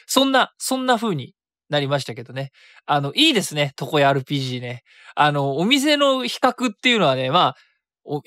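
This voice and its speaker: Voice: mid-range voice, fairly bright tone, no room sound, clean studio sound. A radio host chatting casually alone, mixing polite speech with colloquial asides. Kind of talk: casual solo radio talk.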